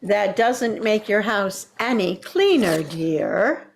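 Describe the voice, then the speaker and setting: Woman playing a slow, sugary, meddling mother-in-law. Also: medium pitch, lively intonation, gravelly, crowd noise. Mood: patronizing. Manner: sugary, patronizing, backhanded. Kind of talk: vintage radio sitcom acting.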